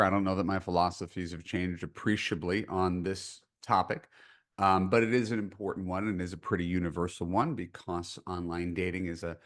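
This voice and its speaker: A man hosting a coaching call as a dating coach, speaking in measured, deliberate phrases. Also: low voice. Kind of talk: podcast intro and coaching call.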